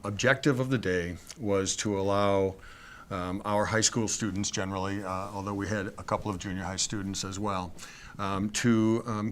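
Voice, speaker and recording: A man's voice: on the low side, fairly bright tone, slight background noise. A middle-aged man, a school superintendent co-hosting a talk show, speaking with many ums and uhs. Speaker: superintendent and co-host. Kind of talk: casual studio chat.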